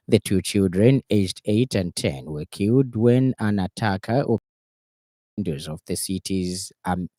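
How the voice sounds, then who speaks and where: A man's voice: lowish voice, dark tone, dry recording. A man with a Zambian accent reading a scripted radio news bulletin. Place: radio studio.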